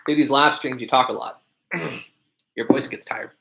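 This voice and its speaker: Man with a deep voice, chatting on a live stream. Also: unhurried, gravelly, fairly bright tone, a little hiss or hum. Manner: chatty, answers viewer questions one by one. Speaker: live stream host reading chat. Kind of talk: casual live stream chat.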